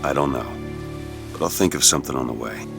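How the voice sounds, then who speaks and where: An actor playing a gruff, blunt, hot-tempered and haunted action hero in a dramatic video game. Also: male voice, rumbling low, fast, over music. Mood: subdued, defensive.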